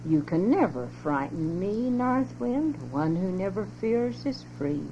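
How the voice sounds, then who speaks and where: A woman's voice: medium pitch, wildly swooping pitch. A woman plays the small fearless duck of a nursery tale, chanting cheerful taunts on a worn, muffled family cassette. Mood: cheerful courage. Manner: slow, singsong taunting verses. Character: plucky, unafraid, cheerful little male duck. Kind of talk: storybook read-aloud. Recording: lo-fi muffled home cassette, loud hum.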